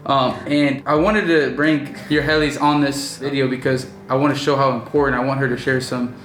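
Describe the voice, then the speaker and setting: A man's voice: mid-range voice, flat delivery, normal speed, a bit bright, reverberant, low-quality recording. A man in his twenties hosting a casual business vlog, talking loosely with many fillers and restarts. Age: 20s. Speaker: vlog host and business owner. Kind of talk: casual chat. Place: indoors at night.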